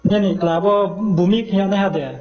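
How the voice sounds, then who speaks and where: Man, high-pitched voice, informal talk, recorded phone call. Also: fairly even intonation, extremely slow, faint music behind.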